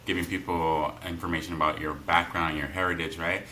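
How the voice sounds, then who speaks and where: A man's voice: low-pitched, flat delivery, brisk, pushed and bright, a little hiss or hum. A man hosting a business podcast, chatty and friendly, often tagging questions with "right?"; Spanish was his first language. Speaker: podcast host. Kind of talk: conversational interview.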